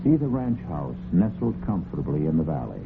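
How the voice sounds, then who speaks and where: A man hosting a radio mystery anthology, narrating a scripted story in a deliberate, theatrical old-radio storyteller's manner. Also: sits low, sing-song, a little hiss or hum. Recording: narrow old radio recording with music.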